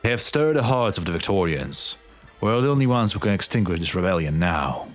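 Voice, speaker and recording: A man acting a stern, commanding general in a game fan dub, speaking gravely and formally. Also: deep voice, big pitch swings, fairly quick. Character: stern, commanding male general, proud and unyielding. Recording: background music under the voice.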